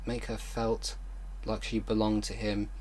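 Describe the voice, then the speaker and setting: A man hosting an online video, reading fan fiction aloud haltingly with exasperated, disbelieving commentary. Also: on the low side, completely flat delivery, a little mellow, slight background noise, low-quality recording.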